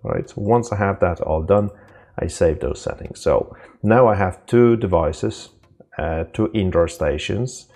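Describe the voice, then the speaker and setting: Husky, very deep-voiced man presenting a tutorial. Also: expressive, mellow. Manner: informal, halting, step-by-step explaining. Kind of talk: unscripted software walkthrough.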